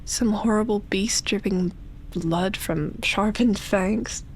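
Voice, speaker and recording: Very slow, breathy seductive vampire woman roleplay. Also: medium pitch, slight background noise, natural voice, low-quality recording. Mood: intimate, teasing. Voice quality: breathy, often dropping into a whisper. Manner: slow, drawn-out, teasing, with lingering pauses. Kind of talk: roleplay ASMR, slow intimate monologue.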